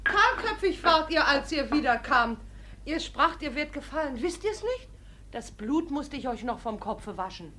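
An actress playing an eager, tattling housemaid in a classic comedy radio play. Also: female voice, high-pitched, very animated, normal speed, sharp tone, a little hiss or hum, exaggerated voice. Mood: forthright.

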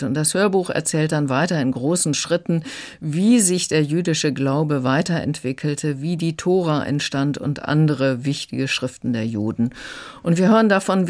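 Calm, explanatory radio book critic. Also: mid-range voice. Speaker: audiobook critic. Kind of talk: radio review chat.

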